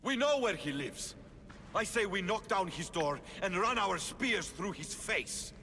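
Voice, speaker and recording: An actor playing Thaletas, a proud, blunt Spartan commander in a game cutscene. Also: male voice, high voice, expressive, a little slow, pushed and bright, big-room sound, slight background noise, full character voice. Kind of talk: video game cutscene acting.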